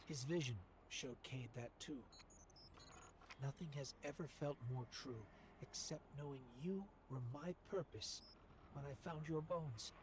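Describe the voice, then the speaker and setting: An actor voicing a devoted little machine companion in a video game, earnest, tender and reflective. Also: male voice, fairly high pitch, measured pace, noisy background, close to an everyday voice. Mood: tender, resolute. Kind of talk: video game cutscene monologue.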